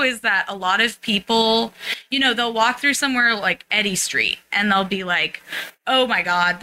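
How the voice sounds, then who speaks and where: American woman, very bright forward voice, talkative, reflective, conversational interview guest. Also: a little high. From San Francisco, USA. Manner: talkative, reflective, peppered with "like" and "you know". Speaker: interview guest, social worker and radio playwright. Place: recording studio.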